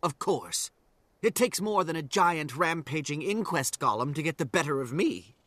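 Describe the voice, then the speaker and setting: Pompous, high-pitched little fantasy inventor. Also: male voice, expressive, moderate pace, fairly bright tone, no echo. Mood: self-assured. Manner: pompous, declamatory, wordy. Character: ambitious male asura inventor, proud and self-assured. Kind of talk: scripted game cutscene acting.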